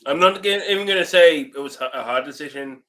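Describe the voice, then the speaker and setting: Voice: mid-range voice, quick pace, bright, forceful tone. A co-host bantering casually and profanely on a movie review show.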